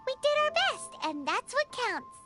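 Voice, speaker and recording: Little fairy sidekick from a video game, super high squeaky kid voice, chirpy, music behind. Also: female voice, sing-song, unhurried, full character voice. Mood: earnest. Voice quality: squeaky, childlike. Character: tiny, squeaky, earnest floating fairy companion.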